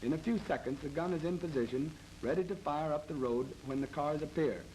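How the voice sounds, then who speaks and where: An American man narrates a military training film in a formal, measured, matter-of-fact 1930s instructional style. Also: a little high, a little mellow. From United States. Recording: noisy old 1930s film soundtrack.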